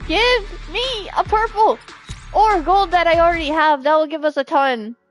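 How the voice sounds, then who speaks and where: A woman chatting casually as she livestreams a game, with a playful, expressive way of talking that readily turns to pleading and exclaiming. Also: very high voice, moderate pace. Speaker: game streamer. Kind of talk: casual livestream chat over gameplay. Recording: music behind the voice. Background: music.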